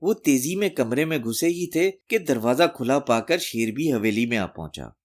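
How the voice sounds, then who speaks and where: Animated male storyteller with lively pitch. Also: normal speed. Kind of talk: scripted narration of a folk tale for children.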